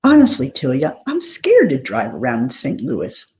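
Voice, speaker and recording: A woman's voice: a bass voice, huge pitch swings, moderate pace, pitched below the performer's own voice, heavily acted. A woman voicing a cautious, exasperated sister who worries and scolds, in a storybook reading. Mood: anxious. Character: anxious, cautious, exasperated sister, a careful driver. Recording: clear background noise.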